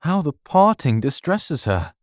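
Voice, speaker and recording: An actor playing a cheerful, gallant young Victorian naval officer with a hearty, tender, theatrical manner in an audiobook drama. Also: male voice, a little high, sing-song, measured pace, no echo, studio quality. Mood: gallant, fond.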